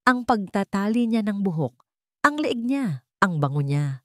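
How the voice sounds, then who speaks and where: A woman narrating a romantic drama in the first person, in an expressive, sentimental storytelling style. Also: medium pitch, a little slow, no room sound, clean studio sound.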